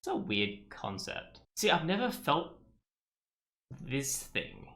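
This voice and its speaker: A man's voice: a little high, wildly swooping pitch, slow pace, sharp tone. A man giving casual let's play commentary, thinking aloud as he goes. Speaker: game streamer.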